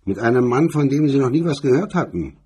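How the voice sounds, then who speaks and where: A man playing a cool, hard-edged blackmailer in a crime radio drama, speaking with cold, taunting calm in a husky, rough voice. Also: medium pitch, a little fast, pitched above the performer's own voice.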